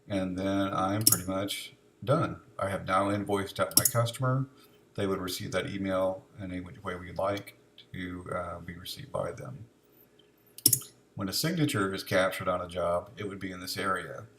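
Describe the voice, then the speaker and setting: Male software demonstrator, low voice, informal step-by-step walkthrough, some background noise on the recording. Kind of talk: unscripted step-by-step software tutorial narration.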